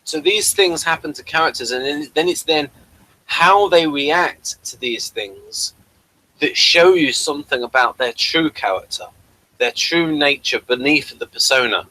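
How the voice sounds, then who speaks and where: A man giving casual, running commentary on a TV episode as a review co-host, rambling and halting, often trailing off mid-sentence. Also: fairly high pitch, sing-song, pushed and bright, poor audio.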